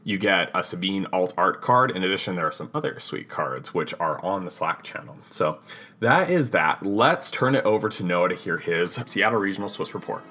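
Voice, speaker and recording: A man's voice: low voice, animated, moderate pace, pushed and bright. A man hosting a gaming podcast, giving casual, rambling announcements and often correcting himself mid-sentence. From Utah. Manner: rambling, self-correcting, chatty. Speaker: podcast host.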